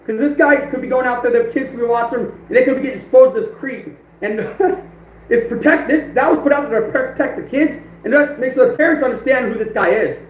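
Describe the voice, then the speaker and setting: A man hosting a casual livestream, reacting to viewers, his voice going hoarse. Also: very high voice, brisk, slight background noise. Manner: laughing, reacting to chat. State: losing his voice. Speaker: livestream host. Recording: echoey room, dull lo-fi stream audio.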